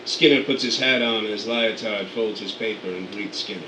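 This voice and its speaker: A man narrating radio play scene directions in a steady, matter-of-fact way. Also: fairly low pitch, normal speed. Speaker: narrator reading stage directions. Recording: roomy, distant mic, air conditioning hum.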